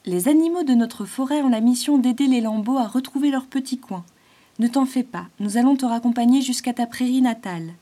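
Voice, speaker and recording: A woman's voice: a little high, fairly quick, mellow, pitched above the performer's own voice, lightly acted. A woman voicing an upbeat, encouraging squirrel who speaks eagerly and reassuringly.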